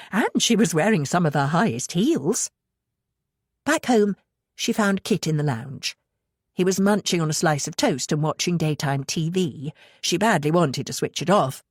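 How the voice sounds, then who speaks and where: Animated woman narrating fiction, lowish voice. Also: breathy edge, no echo, studio quality. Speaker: audiobook narrator. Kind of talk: scripted dramatic fiction narration.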